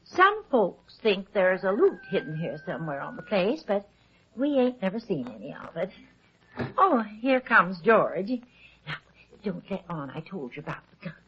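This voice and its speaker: An actress playing a chatty, gossipy farm wife in an old radio drama, with a rural American accent and rambling, homespun speech. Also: female voice, medium pitch, sing-song, normal speed, a little hiss or hum, lightly acted. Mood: confiding. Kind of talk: 1940s radio drama acting. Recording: dull old radio recording.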